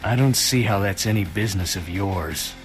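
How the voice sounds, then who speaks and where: A man's voice: sits low, moderate pace, over music, close to an everyday voice. A man playing a courteous but aloof, businesslike schemer, speaking formally in a video game. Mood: curt, guarded. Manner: formal, clipped, precise.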